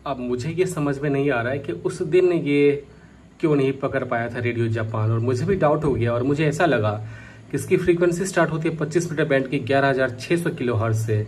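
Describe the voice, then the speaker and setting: A man's voice: medium pitch, fast, darkish tone, a little hiss or hum. A man casually narrating a hands-on product test as a hobbyist vlogger.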